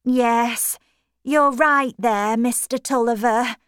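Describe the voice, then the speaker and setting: Female reader as a fussy, anxious wife of about forty, high and pleading, dry recording. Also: fairly even intonation, takes it slowly, fairly bright tone, clean tone, no echo. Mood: meek.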